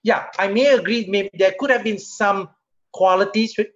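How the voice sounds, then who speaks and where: High-voiced Singaporean man, muffled video call. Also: moderate pace, fairly bright tone. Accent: Singaporean English. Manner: conversational, rhetorical questions, rises animatedly when making a point. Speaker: company CEO giving a leadership talk. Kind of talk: motivational online talk. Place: home or office room, webcam. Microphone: webcam or laptop mic on a video call.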